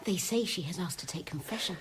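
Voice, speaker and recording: An actress playing an anxious, curious and pious convent nun in a radio drama. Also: female voice, lowish voice, expressive, a little fast, noisy background, natural voice. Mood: hushed gossip.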